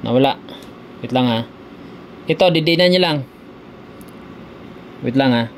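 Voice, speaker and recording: Man, halting tutorial talk, faint hum. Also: mid-range voice. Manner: halting, repetitive, filler-heavy explaining. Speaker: tutorial video host. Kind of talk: casual unscripted product tutorial.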